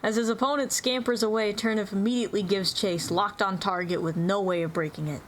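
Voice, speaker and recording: A woman's voice: mid-range voice, steady tone, brisk, slight background noise. A woman reading scripted action-story narration as part of a dramatic reading.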